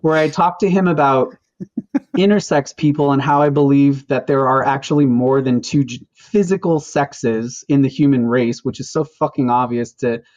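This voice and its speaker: A man from the United States, a guest filmmaker, telling an animated, frank story in casual conversation. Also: mid-range voice, normal speed, muffled recording.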